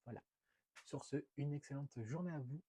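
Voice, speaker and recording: A man's voice: on the high side, moderate pace, dark tone, breathy edge, dry recording. A young man with a head cold, talking through a stuffed nose in a chatty, hesitant book vlog full of fillers. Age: young adult. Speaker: book vlogger. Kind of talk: casual book haul vlog.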